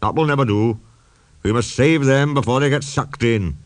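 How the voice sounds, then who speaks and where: A man's voice: mid-range voice, normal speed, no room sound, lightly acted. A man voicing a grumpy, stubborn old male steam engine in a storybook, indignant and plain-spoken. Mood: concerned.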